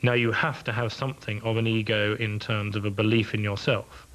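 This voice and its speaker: A man with a posh English accent, a football referee speaking in a measured, reflective way in a documentary interview. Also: fairly low pitch, little pitch movement, heavy background noise. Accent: posh southern English. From England.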